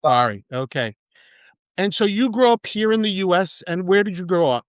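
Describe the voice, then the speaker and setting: A man hosting an interview podcast, reading a formal scripted introduction and then asking questions in a measured way. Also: high voice, animated, clean tone, no room sound. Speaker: podcast host.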